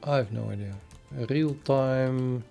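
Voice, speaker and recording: Brief: Dutch-accented male streamer, gravelly voice, halting and full of fillers, faint music underneath. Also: medium pitch, warm tone. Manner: thinking aloud, halting with many fillers. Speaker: programming livestreamer. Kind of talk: casual live-stream chat.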